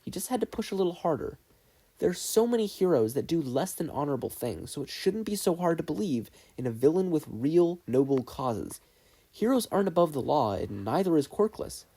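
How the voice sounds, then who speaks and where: Guy reading as earnest teen boy student, idealistic and argumentative, kinda mellow husky voice, audiobook style. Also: fairly high pitch, big pitch swings, a little fast, voice pushed up, exaggerated voice. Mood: impassioned, persuasive. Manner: emphatic, argumentative.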